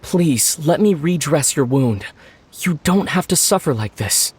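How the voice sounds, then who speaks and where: An actor playing a gentle, earnest young male doctor in a video game. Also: a little high, animated, a little slow, slight background noise. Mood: soothing, concerned.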